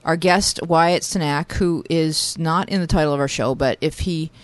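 A middle-aged woman co-hosting a podcast in a studio, talking in a quick, chatty and playful way. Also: deep voice, no room sound. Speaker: podcast co-host. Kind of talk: casual chat. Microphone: studio microphone.

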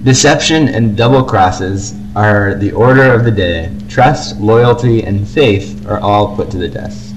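A man's voice: fairly low pitch, a little slow, few pauses, music in the background, low-quality recording. A young man chatting casually as co-host of a fan podcast, on an amateur home recording.

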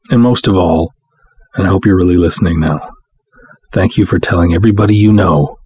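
Low, husky man hosting a podcast. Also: darkish tone, no room sound. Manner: deliberate, emphatic phrasing with dramatic pauses. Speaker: podcast host. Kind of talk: direct-address podcast monologue.

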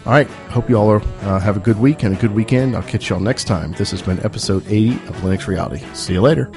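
A man's voice: lowish voice, fast talker. A man hosting a podcast, casually signing off while losing his voice to a cold, rambling with many fillers. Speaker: podcast host. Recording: music playing underneath.

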